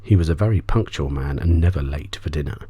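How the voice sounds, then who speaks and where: A man narrates a bedtime story in a very low, dark voice. His delivery is soft ASMR storytelling that often slips toward a whisper. The tone is breathy and husky, captured close and dry. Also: fast talker, no echo, lo-fi sound. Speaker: audiobook reader.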